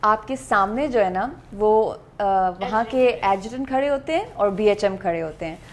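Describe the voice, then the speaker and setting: A woman's voice: mid-range voice, expressive, unhurried, a little hiss or hum. A Pakistani-accented woman, an actress talking casually in an interview and mixing two languages as she goes. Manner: switches between Urdu and English.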